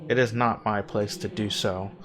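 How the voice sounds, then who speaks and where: An actor playing the courteous, wistful spirit of an ancient man in a video game cutscene, speaking in formal, measured, gently wry phrases. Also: on the low side, steady tone, close to an everyday voice. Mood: gently solemn. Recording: game dialogue with music underneath. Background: background music.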